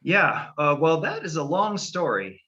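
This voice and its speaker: A man's voice: a little high, very animated, a little slow, bright, forceful tone, highs cut off. A middle-aged man from California, a guest astrologer, talking casually and meandering with fillers and restarts on a podcast.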